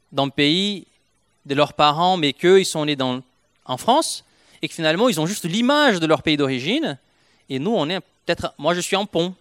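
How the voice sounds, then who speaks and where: A man from Brazil shares a heartfelt testimony at a church gathering, sitting a little high in his register. He speaks earnestly, often stumbling and restarting his phrases. The sound is close and dry. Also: a bit bright, dry recording. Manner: earnest, halting with frequent restarts.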